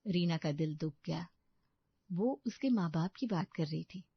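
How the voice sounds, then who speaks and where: A woman's voice: on the low side, animated, a little slow, slightly rough, no room sound, muffled recording. Woman storyteller, dry close sound. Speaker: storyteller, narrator. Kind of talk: scripted story narration.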